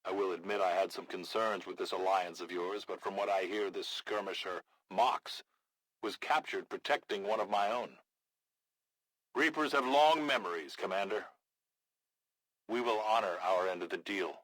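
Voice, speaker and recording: An actor playing a gruff, blunt, battle-hardened resistance leader in a video game, with a gravelly voice and a measured, grave manner. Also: male voice, low-pitched, a bit bright, no echo, poor audio. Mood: measured approval. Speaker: military faction commander in a video game. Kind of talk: scripted video game voice acting.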